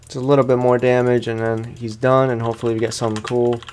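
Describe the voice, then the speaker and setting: A man with a mid-pitched voice gives casual commentary as he plays a video game. He is chatty and playful, talking in loose slang as the host of a let's play. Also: little pitch movement, fast, a little mellow, a little hiss or hum.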